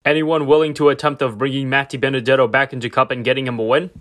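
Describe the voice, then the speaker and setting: A man's voice: medium pitch, flat delivery, quick pace, a bit bright, close to an everyday voice. A man voicing an earnest toy race car talking with team-mates in an amateur fan dub. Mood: earnest, conversational.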